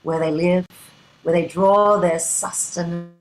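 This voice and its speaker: A woman narrating a play in a dramatic scripted style, breaking it into short, deliberate phrases. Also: on the low side, fairly even intonation, rough recording.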